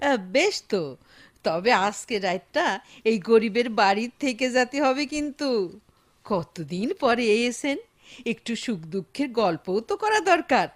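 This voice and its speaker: A woman's voice: fairly high pitch, expressive, measured pace. A woman with a Bangladeshi accent acting an affectionate, hospitable older village mother-in-law in a Bengali radio drama.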